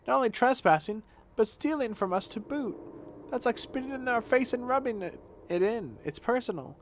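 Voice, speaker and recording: Man doing a brash, high-pitched boy gang chieftain. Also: animated, moderate pace, a little hiss or hum. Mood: defensive, blustering. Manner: slangy, taunting, blustering. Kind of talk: video game dialogue read aloud.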